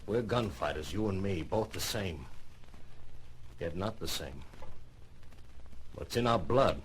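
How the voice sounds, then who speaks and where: Low-voiced, fatalistic gunfighter. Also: male voice, expressive, measured pace, slightly rough, exaggerated voice. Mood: fatalistic, quiet. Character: weary, fatalistic gunfighter of the Old West. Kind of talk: old-time radio western drama acting. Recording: lightly noisy old radio recording.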